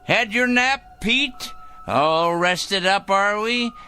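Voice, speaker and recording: A man's voice: high-pitched, slow, over music. An actor in a radio drama playing a fussy, sanctimonious, secretive man obsessed with judging evil, speaking precisely and condescendingly. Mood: gleeful, obsessed. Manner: pompous, condescending, precise and theatrical.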